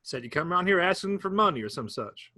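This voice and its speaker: A man's voice: high voice, very animated, quick pace, sharp tone, dry recording, heavily acted. A man reading a curt, guarded farm uncle. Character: defensive farm son, uncle to the boys. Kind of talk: staged play reading.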